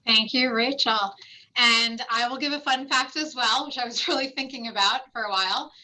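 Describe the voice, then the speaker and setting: Bright-voiced woman presenting a webinar. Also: on the high side, moderate pace, low-quality recording. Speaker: clinical site director presenting a webinar.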